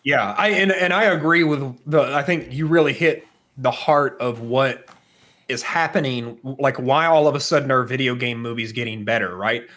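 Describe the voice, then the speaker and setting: A man's voice: mid-range voice, normal speed, fairly bright tone. A man co-hosting a podcast, talking casually and thinking aloud in a rambling, conversational way. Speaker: podcast co-host. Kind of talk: casual discussion of films and games.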